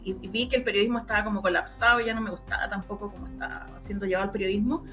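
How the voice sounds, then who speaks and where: A middle-aged woman with a Chilean accent, telling stories conversationally as an interview guest, often pausing to find her words. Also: medium pitch, steady tone, sharp tone, faint music behind. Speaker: interview guest, radio drama maker and journalist.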